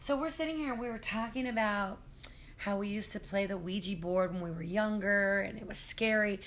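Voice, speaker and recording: A hoarse middle-aged woman telling a spooky story at her kitchen table, rambling with many ums and self-corrections, recorded on a phone. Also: mid-range voice, fairly quick, a bit bright, a little hiss or hum. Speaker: guest storyteller. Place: apartment kitchen at home.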